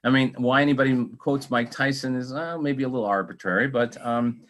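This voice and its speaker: A man's voice: mid-range voice, a little fast, fairly bright tone. An American man hosting an interview in a casual, joking, rambling way over a video call. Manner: rambling, jokey. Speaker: talk show host, interviewer.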